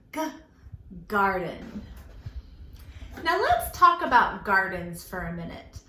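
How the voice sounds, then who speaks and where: Slow, lilting woman teaching young children. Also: a little high, pushed and bright. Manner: sing-song, simple phrasing with emphatic words. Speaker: children's library storytime host. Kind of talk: children's lesson with rhymes and questions to young viewers. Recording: roomy echo with background noise.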